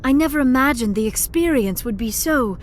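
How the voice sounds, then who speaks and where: A woman playing a gentle, earnest young alien scientist in a video game, speaking formally and precisely. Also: high-pitched, lively intonation, brisk, a little hiss or hum. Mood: awed. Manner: formal, precise speech without contractions. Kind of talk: video game dialogue acting.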